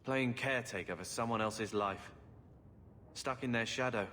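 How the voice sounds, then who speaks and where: A man's voice: medium pitch, moderate pace, pushed and bright, in a large hall, a little hiss or hum, lightly acted. An actor playing a resentful, sarcastic young man, a brooding younger brother in a video game. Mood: bitter, resigned. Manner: sullen, sarcastic.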